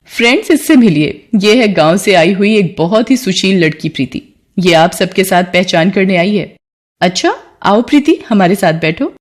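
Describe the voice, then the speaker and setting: A woman's voice: mid-range voice, expressive, normal speed, close to an everyday voice. A woman voicing a haughty, spiteful young city college girl in a dramatised story, scornful and bossy. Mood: mock sweet. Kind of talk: dramatised Hindi moral story.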